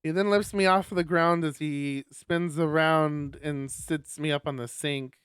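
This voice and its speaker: A man livestreaming and reading a visual novel aloud, sweary and animated, with exasperated asides and guitar music behind him. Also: a little high, a little slow, clean tone, no echo, studio quality. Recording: loud music under the voice. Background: plucked guitar game music.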